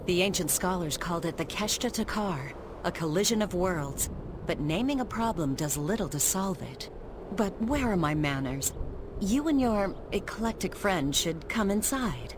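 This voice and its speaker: A woman plays a composed noble lady from a fantasy game, with a fairly low voice. She is formal and dryly witty. Clear background noise sits behind her. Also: expressive, normal speed, close to an everyday voice. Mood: composed, gracious. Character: poised, wry noble lady martial arts master. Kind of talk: scripted video game cutscene acting.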